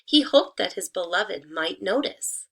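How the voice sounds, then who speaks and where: Female elementary teacher, mid pitch with noticeable fry. Deliberate read-aloud delivery aimed at young children. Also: expressive, fairly bright tone.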